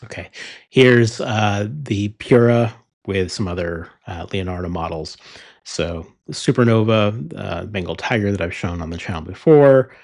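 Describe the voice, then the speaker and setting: Low-voiced man reviewing a pen. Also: a little slow, creaky. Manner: relaxed, many ums and uhs. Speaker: fountain pen channel host. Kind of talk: casual product review.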